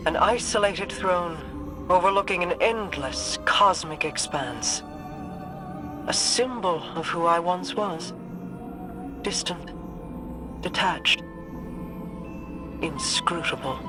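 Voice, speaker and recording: Slow, regal, low-voiced queen. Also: over music. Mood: solemn, resolved. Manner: slow, stately, measured. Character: regal, aloof alien queen, solemn and reflective. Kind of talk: scripted game dialogue.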